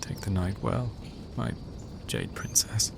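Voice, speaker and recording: A man's voice: lowish voice, huge pitch swings, slow pace, creaky, background noise, exaggerated voice. A man playing a solemn, grieving vampire warrior in an audio drama, holding himself back. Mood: grave, restrained. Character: grim, grieving vampire warrior, brave and weary. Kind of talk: dramatic audio fiction acting.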